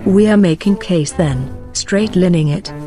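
A woman's voice: fairly low pitch, a little slow, natural voice. A woman playing a stern warrior guardian over music. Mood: resolute, commanding. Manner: commanding, solemn. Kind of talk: motion comic voice acting. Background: background music.

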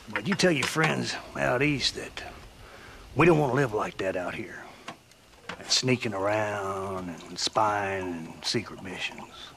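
A man's voice: medium pitch, fairly bright tone, slight background noise. An older man with an American Western accent playing a blunt, hearty, opinionated old rancher in a video game. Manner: blunt, folksy, preachy. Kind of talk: dramatic video game acting.

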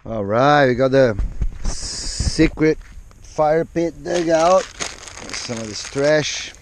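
Middle-aged man, laid-back vlog narration, slow and easy delivery, outdoor action-cam sound. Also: a little high, a little hiss or hum. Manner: relaxed, unhurried running commentary. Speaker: vlogger. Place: outdoors in open desert.

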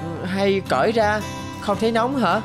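A woman's voice: mid-range voice, moderate pace, music in the background, natural voice. A woman voicing a good-natured, loyal schoolboy in a cartoon, with a casual youthful manner. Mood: friendly chatter. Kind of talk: cartoon voice acting.

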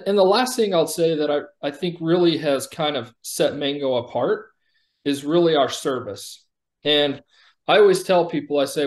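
American man explaining, interview-style. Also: medium pitch. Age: middle-aged. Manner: explanatory, often checking with "right?". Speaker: company executive interviewed. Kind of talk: conversational product pitch in an interview. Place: indoor room, on a video call.

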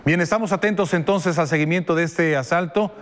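A man's voice: a little high, a little fast, long reverb tail, a little hiss or hum. A middle-aged man from Mexico, anchoring the news in a studio and commenting with indignation. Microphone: lapel mic.

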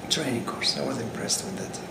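Moroccan researcher, 50s, gravelly, halting interview answers, echoey room with loud AC hum. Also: male voice, mid-range voice. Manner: hesitant, many fillers and restarts. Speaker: agricultural researcher. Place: indoor room with curtain backdrop. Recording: roomy sound with air-conditioning hum.

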